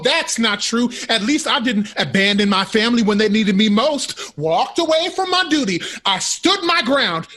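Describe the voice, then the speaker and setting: Bitter dutiful older sibling in a radio play, raspy and sarcastic, very up and down. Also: female voice, fairly high pitch, a bit bright. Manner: sarcastic, defensive. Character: resentful, dutiful older sibling carrying the family. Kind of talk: audio drama acting.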